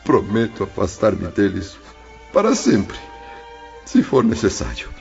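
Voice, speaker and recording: An actor playing a grieving father who prays for the children he abandoned, in a pleading, gravelly voice. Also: on the low side, very animated, slow, a little mellow, exaggerated voice. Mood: pleading prayer. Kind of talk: audio drama acting. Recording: dull lo-fi audio with music behind.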